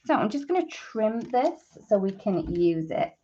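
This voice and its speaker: A woman's voice: medium pitch, sing-song, a little slow, warm tone, lo-fi sound. A woman hosting a live craft tutorial, chatting informally to viewers and thinking aloud as she works. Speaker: host of a live papercrafting demonstration.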